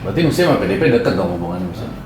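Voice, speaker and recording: A male host keeps the chat moving with quick prompts and reactions. His pitch sits in the middle range. The recording sounds distant and echoey, with noise underneath. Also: sing-song. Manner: chatty, reacting with laughs and short prompts. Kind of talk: casual interview chat. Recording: roomy echo, mic at a distance, noisy background.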